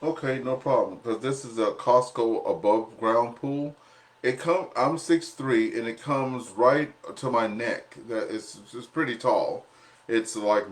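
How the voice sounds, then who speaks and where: A man chatting casually on an amateur radio net, explaining things in a rambling, folksy, plain-worded way. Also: fairly low pitch. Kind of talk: casual chat.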